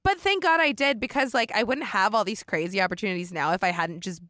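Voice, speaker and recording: A young woman hosting a casual podcast interview, candid and quick to laugh, swearing freely. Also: mid-range voice, fairly bright tone, no echo, studio quality. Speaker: podcast host. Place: comedy shop at a party.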